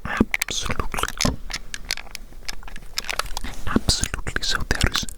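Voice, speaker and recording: A breathy, fully whispered ASMR voice in the role of an eccentric mad doctor doting on a tiny specimen. The delivery is soothing and deliberate, circling back over words and phrases, very close to the mic. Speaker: ASMR performer. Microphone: close ASMR microphone.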